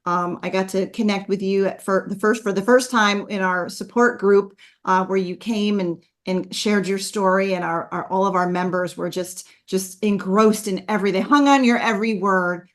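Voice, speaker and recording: A woman's voice: mid-range voice, steady tone, moderate pace, hardly any pauses. A woman hosting a podcast, talking in a chatty, upbeat way with frequent ums. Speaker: podcast host. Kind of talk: casual podcast talk.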